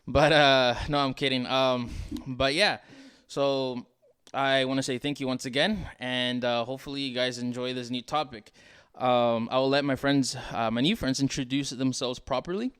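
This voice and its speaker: A man's voice: medium pitch, fairly bright tone, smooth. A man hosting a podcast in a casual, conversational style, rambling and joking as he goes. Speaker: podcast host.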